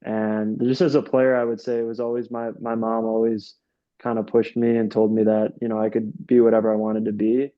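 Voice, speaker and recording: A man's voice: fairly low pitch, flat delivery, a little fast, dull audio. A young man from upstate New York, a soccer coach, answering interview questions in a casual, rambling way full of fillers and restarts. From Rochester, New York.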